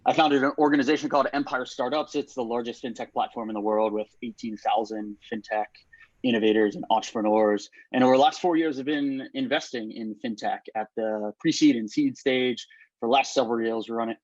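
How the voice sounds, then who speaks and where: A man's voice: mid-range voice, no room sound, muffled recording. A man introducing himself on an online panel in a matter-of-fact way. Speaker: investor, panelist. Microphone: video call.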